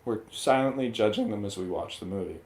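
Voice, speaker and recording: The sound is roomy and echoey around a fairly low male voice. He talks like a panelist on a movie review show, casual and opinionated. His delivery rambles conversationally and is freely peppered with swearing. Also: lively intonation, a little fast.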